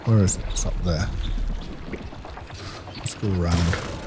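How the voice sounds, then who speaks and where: A man's voice: low-pitched, fairly even intonation, takes it slowly. A man giving casual video game commentary, thinking aloud in short remarks. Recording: clearly noisy, game sound behind voice. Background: video game ambience.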